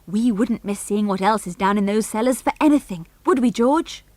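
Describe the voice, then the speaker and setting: A woman's voice: mid-range voice, moderate pace, a little mellow, no room sound, close to an everyday voice. A woman voicing an enthusiastic, polite young girl in a children's adventure audiobook. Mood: eager.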